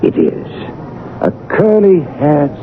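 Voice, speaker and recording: An actor playing a keen, self-assured detective with a brisk, emphatic, teasing manner in a 1970s radio drama. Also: male voice, fairly high pitch, wildly swooping pitch, exaggerated voice. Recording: tinny old radio broadcast, faint noise.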